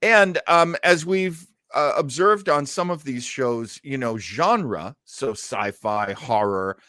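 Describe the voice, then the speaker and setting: A man's voice: mid-range voice, slow, bright, forceful tone, dry recording, clean studio sound. A man hosting an old-time radio show with enthusiastic, showman-like delivery and casual chat.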